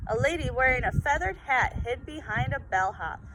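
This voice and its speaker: High-pitched woman reading a story, very noisy. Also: normal speed. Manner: dramatic, expressive read-aloud delivery. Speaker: storyteller reading a picture book aloud. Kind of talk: expressive children's story narration. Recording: very noisy, rumbling background.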